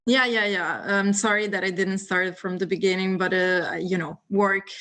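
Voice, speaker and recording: A woman's voice: medium pitch, fairly even intonation, moderate pace, a bit bright. Italian-accented woman in her late twenties, guest on a video call, friendly and a little nervous. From northeast Italy. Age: 20s. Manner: friendly, nervous laughs, many fillers. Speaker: guest speaker.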